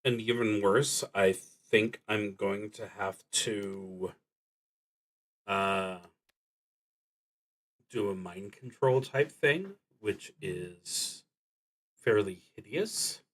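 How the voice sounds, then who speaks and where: A man narrates his solo roleplaying game as he works it out aloud. His voice sits somewhat low and he speaks very slowly. The delivery is deliberate and halting, with long gaps between phrases. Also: fairly bright tone.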